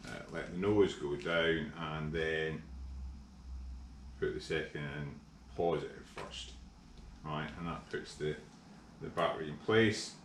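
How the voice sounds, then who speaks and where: A middle-aged Scottish man casually explaining a kit installation in a workshop, relaxed and full of ums. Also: very deep voice, slow pace, pauses often. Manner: relaxed, hesitant with frequent ums. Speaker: workshop vlogger. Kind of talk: casual how-to demonstration. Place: large indoor workshop. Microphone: camera mic from overhead. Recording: echoey workshop with faint hum.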